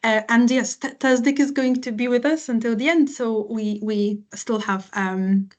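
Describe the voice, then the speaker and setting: A woman hosting an online webinar, thanking a guest and moving on to the next slides. She speaks in a friendly but halting way, full of ums. Her voice is slightly high. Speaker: webinar host.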